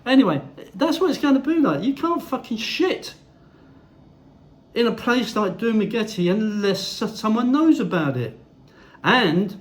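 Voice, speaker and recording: An older man, ranting bluntly to camera in a casual vlog and swearing heavily. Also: high-pitched. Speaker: vlogger. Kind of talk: opinionated casual monologue.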